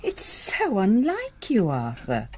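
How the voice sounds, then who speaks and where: An actress playing a gentle, concerned wife and mother in a radio drama, solicitous and well-spoken. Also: mid-range voice, huge pitch swings, takes it slowly, slightly rough, full character voice. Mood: worried, puzzled.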